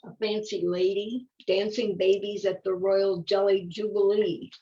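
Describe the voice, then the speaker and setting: An older woman reading a picture book aloud, unhurried, with casual explanatory asides. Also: mid-range voice, fairly even intonation, warm tone. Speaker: children's story reader. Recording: dull, muffled lo-fi sound.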